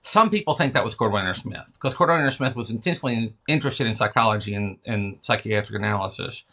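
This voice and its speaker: Man with a lisp, bright forceful voice. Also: lowish voice, expressive, quick pace. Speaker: interview guest, fiction writer and teacher. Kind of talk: conversational literary interview, discursive explanations.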